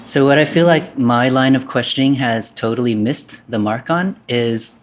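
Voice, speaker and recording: A man hosting a casual interview, asking questions in a relaxed way and affirming often. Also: medium pitch, normal speed, a little hiss or hum. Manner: relaxed, affirming with frequent "yeah". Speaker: interview host.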